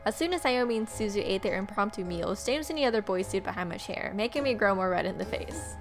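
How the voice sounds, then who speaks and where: A woman's voice: mid-range voice, animated, quick pace. A woman doing playful gaming commentary, reading story text aloud and adding jokey, informal asides. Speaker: let's play host reading the game aloud. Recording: game music behind the voice. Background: game music.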